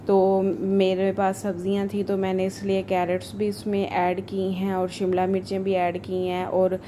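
A woman's voice: mid-range voice, completely flat delivery, normal speed, dark tone. A woman giving a chatty, informal recipe voice-over as a home cook. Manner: informal, chatty, homely asides. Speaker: home cook, cooking channel host. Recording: voice-over with clear background noise.

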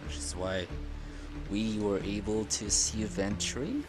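A man with a low voice gives casual game commentary. He speaks slowly and hesitantly, musing aloud over loud music. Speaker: game streamer.

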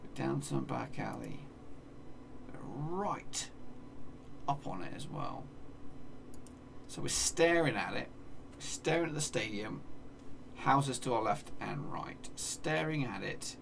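English streamer, 30s, gravelly fry, mic set back with noise behind, self-muttering casual game commentary. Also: male voice, medium pitch, lively intonation, takes it slowly, fairly bright tone, recorded from a distance. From England. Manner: mutters place names to himself, swears playfully. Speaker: gaming streamer. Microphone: desk mic, seen in webcam corner.

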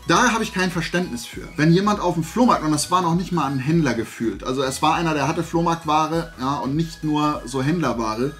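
A man. His voice sits a little high for a man. He talks in a blunt, colloquial, opinionated way, seated at a desk in a small room, with faint music. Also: a little fast, few pauses, a bit bright. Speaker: host of a collecting haul vlog. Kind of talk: casual chat to camera. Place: small indoor room lined with collectibles.

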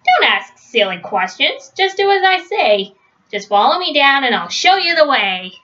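Proud, bossy father bear, female reader, storybook; emphatic, bright, high-pitched, lo-fi sound. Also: big pitch swings, normal speed, exaggerated voice. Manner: showy, instructing, emphatic. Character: boastful, overconfident father bear teaching his son. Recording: dull, lo-fi webcam sound.

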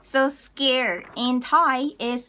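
Woman in her 30s, high voice, upbeat live-stream teacher who laughs easily, phone mic in a small room. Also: pushed and bright. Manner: cheerful, laughs often, spells out words slowly. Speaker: Thai language teacher. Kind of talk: live-streamed lesson reading viewer comments. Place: small room indoors, plain wall.

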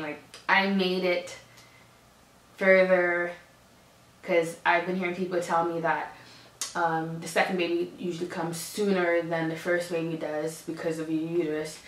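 A woman's voice: lowish voice, fairly bright tone, a little hiss or hum. A woman in her thirties chatting to the camera in a casual update from her home office, giggling often and correcting herself. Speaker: vlogger. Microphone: camera across the desk. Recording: echoey room, mic a bit far.

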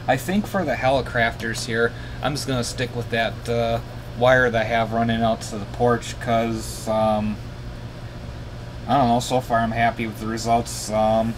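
A tired man giving a casual, hesitant, rambling hands-on demonstration as a radio hobbyist, thinking aloud in a small room. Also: mid-range voice. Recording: noisy room, steady background hiss.

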